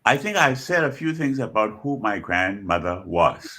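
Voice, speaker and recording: An elderly man with a Liberian accent talks in a reflective interview, his voice bright and forceful but husky. He phrases his thoughts deliberately, pausing and repeating words as he goes, and sits fairly low in pitch. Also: big pitch swings. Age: 70s or older. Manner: measured, deliberate phrasing with frequent pauses and repetitions. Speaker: guest author and scholar.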